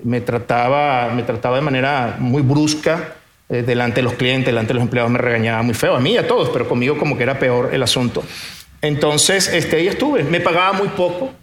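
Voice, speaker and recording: A man's voice: mid-range voice, brisk, roomy sound. A middle-aged businessman, born in Portugal and raised in Venezuela, telling his story in a casual interview, hesitant and often repeating words. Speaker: businessman guest.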